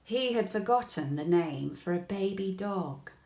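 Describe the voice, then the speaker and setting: A woman reads a bedtime story for young children, gently and expressively. Her pace is very unhurried, with careful pauses and lively movement in pitch. The room around her voice is noticeably echoey. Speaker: storybook reader.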